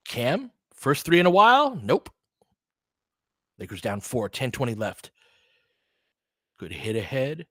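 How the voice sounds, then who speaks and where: Male basketball commentator, mid pitch, dry close sound; clipped informal calls with a fan's slant. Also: huge pitch swings, takes it slowly, pauses often, no room sound. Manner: clipped short phrases, informal slang, partisan fan reactions. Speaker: play-by-play commentator. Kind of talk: casual live basketball play-by-play commentary with analysis.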